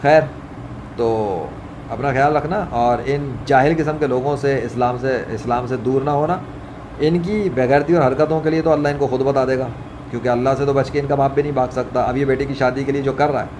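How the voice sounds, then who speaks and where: Male vlogger, mid-pitched, scolding and preachy, informal rant with repeated phrases, noisy ambient recording. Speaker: religious vlogger. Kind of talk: informal religious monologue, ranting. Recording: clearly noisy, ambient background hum. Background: ambient noise, running fan.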